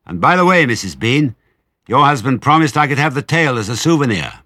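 A man voicing a big, gruff servant woman who calls out and grumbles, in a storybook reading. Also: mid-range voice, big pitch swings, brisk, sharp tone, no room sound, voice pushed up. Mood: disappointed, nosy. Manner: calling out, grumbling.

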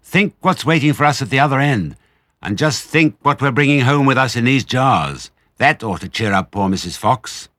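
A crafty, confident storybook fox, voiced by a man, brisk and jaunty as head of his family. The pitch swings widely and the sound is dry. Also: animated, pushed and bright, dry recording. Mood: rousing.

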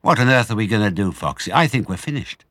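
Man acting an agitated badger, swooping in pitch. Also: quick pace, no echo. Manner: excited, exclaiming, old-fashioned turns of phrase. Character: agitated, despairing male badger, a worried father.

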